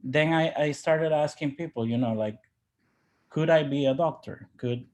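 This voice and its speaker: A man from Ecuador, a native Spanish speaker, giving personal reflections as an interview guest in a halting, thoughtful way. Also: a little high, darkish tone. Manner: halting, thoughtful, with repeated word starts.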